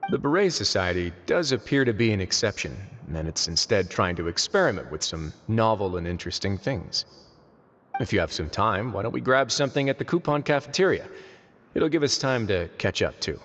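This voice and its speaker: An actor playing a composed, thoughtful man of authority in a video game, speaking calmly with dry, teasing wit. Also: on the low side, slight background noise. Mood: thoughtful, reassuring. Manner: calm, measured, dryly teasing. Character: composed, wry male authority figure, unhurried and thoughtful. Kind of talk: video game character dialogue. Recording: echoey hall, music behind.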